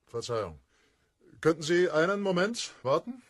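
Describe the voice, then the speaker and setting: An actor playing a hot-headed, suspicious young poet in an audio drama, blunt and challenging. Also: male voice, a little high, sing-song, moderate pace. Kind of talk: German audio drama acting.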